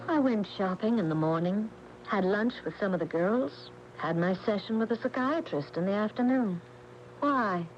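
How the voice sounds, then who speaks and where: An actress playing an exasperated American wife in a radio drama, indignant and dismissive. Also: female voice, mid-range voice, animated, moderate pace, close to an everyday voice. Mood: casual. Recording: dull old AM radio, faint noise.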